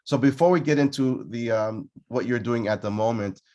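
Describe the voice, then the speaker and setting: A man's voice: mid-range voice, normal speed, a little mellow. A man hosting a podcast, introducing his guest with an upbeat tone and frequent fillers. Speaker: podcast host. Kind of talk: casual podcast interview.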